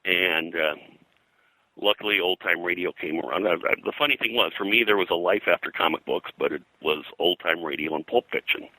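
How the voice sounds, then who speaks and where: Very deep-voiced older American man, bright edge. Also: fairly quick, dry recording, poor audio. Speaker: guest, comics colorist and publisher. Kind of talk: reminiscing interview talk.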